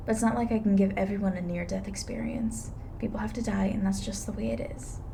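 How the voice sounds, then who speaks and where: A woman playing a blunt, weary, reluctantly soft-hearted reaper in an intimate ASMR roleplay, dry and matter-of-fact. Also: medium pitch, vocal fry, a little hiss or hum, lightly acted. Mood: exasperated, grudging.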